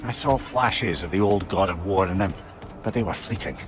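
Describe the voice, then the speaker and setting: A man voicing a wry, worldly old sage companion in a video game, speaking in a measured way. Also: sits low, lightly acted. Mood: musing, wry. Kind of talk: video game character acting.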